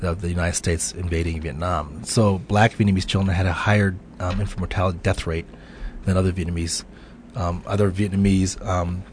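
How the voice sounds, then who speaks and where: Middle-aged man, deep monotone, hesitant thoughtful interviewee, slight background noise. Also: fairly quick. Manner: thoughtful, hesitant, many ums. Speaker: guest. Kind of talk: radio interview.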